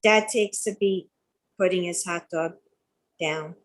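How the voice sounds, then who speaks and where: A woman's voice: fairly low pitch, slow. An older woman reading screen directions aloud for a live script table read.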